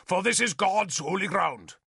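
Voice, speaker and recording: A man reading an audiobook voices a fanatical, wrathful bishop who booms his denunciations like a preacher, in a gravelly voice. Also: lively intonation, a little slow, sharp tone, dry recording, studio quality, full character voice. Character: fanatical middle-aged male bishop, booming and wrathful.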